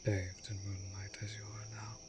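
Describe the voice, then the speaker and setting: A man voicing a wounded, courtly vampire in an intimate fantasy audio drama, tender and protective, speaking formally and in a hushed way. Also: lowish voice, level tone, moderate pace, heavy background noise, lightly acted. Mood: tender, teasing. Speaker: voice actor in a romantic audio roleplay.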